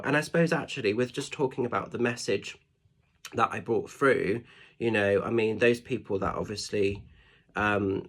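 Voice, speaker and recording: A man with a congested, nasal voice talks through a card reading in a rambling, apologetic way. His voice sits fairly low and sounds husky. He comes across as gently reassuring. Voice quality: nasal, stuffed-up. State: congested with hay fever or a cold. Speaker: spiritual medium and tarot reader. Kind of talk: casual oracle card reading.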